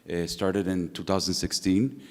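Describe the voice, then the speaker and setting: A man's voice: deep voice, flat delivery, unhurried. A man introducing his company as a panelist. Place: conference hall. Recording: echoey hall with long reverb.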